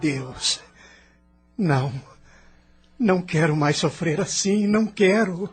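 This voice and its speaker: A man's voice: sits high, slow. A man playing an anguished, regretful young emigrant's restless spirit in an audio drama, with dramatic, emotional delivery. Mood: anguished pleading. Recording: music behind the voice.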